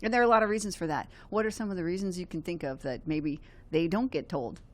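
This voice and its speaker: A woman's voice: fairly low pitch, fast. A woman hosting a real estate radio talk show, playful and joking with her co-host. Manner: playful, joking, laughs often. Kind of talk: casual radio chat. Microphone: studio microphone.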